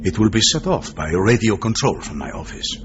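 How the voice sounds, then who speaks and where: Very deep, animated worldly spymaster. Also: male voice, fairly quick. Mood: worldly, teasing. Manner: ends phrases with questioning huh and eh, chuckles. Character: worldly, genial Turkish spymaster, relishing his intrigues. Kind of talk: radio drama acting. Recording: dull lo-fi sound with clear noise.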